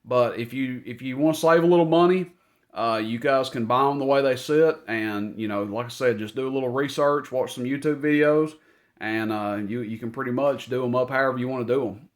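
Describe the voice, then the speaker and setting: A man's voice: mid-range voice, a little fast. A man giving an informal, matter-of-fact, rambling rundown of technical gear as a seller. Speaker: radio and amplifier seller presenting inventory. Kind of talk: informal product rundown to camera. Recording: noticeable background noise.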